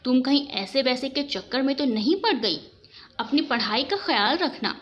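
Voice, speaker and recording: Woman narrator as protective elder sister, young, high voice, cautioning, audio story dialogue. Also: lively intonation, moderate pace, a bit bright. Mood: concerned.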